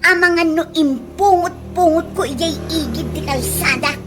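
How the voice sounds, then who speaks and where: A woman's voice: very high voice, moderate pace, over loud music. A woman with an Ilocano accent playing a quarrelsome, thin-skinned gossip in a comedy radio drama, whining and confrontational. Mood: angry. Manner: indignant, confrontational, whiny exclamations. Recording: noisy home-made radio drama recording.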